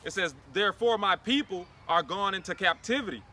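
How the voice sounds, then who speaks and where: Bright, high-voiced man co-preaching outside. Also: animated, fairly quick. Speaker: co-preacher and scripture reader. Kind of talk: reading scripture aloud and informal interjections. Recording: outdoor recording with traffic noise.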